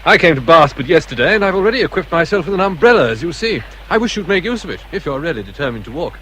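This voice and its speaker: An actor playing a courteous, formal naval captain with a slightly awkward politeness, in a period radio drama. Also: male voice, a little high, very animated, fast, pushed and bright, a little hiss or hum. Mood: courteous, eager.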